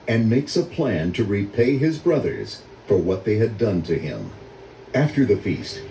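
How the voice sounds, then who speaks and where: A man's voice: fairly low pitch, dark tone. An older man giving scripted narration for a storybook read-along. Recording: roomy, distant, faintly noisy audio.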